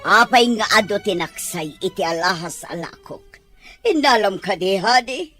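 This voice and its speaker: A woman with a Filipino accent playing an accusing, sharp-tongued wealthy employer in an Ilocano audio drama. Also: medium pitch, animated, normal speed, faint music behind, natural voice. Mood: suspicious, indignant.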